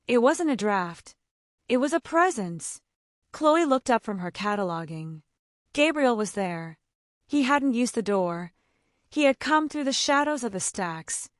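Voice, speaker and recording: A woman narrates a romance story with expressive, dramatic delivery. Her pitch moves freely across a wide range. The recording is dry and close, with no room sound. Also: no room sound.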